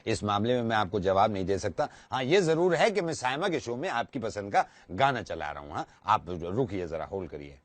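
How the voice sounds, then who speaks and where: A man with a fairly low voice, recorded close and dry. He works as a radio jockey and handles a prank call with formal, deliberate courtesy. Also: expressive, dry recording. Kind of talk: prank phone call, polite formal talk.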